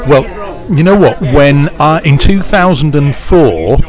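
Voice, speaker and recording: A man's voice: fairly high pitch, lively intonation, measured pace, a little mellow. A middle-aged British man giving interview answers in a chatty, enthusiastic storytelling way. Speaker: pantomime producer, interviewee. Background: music clearly audible.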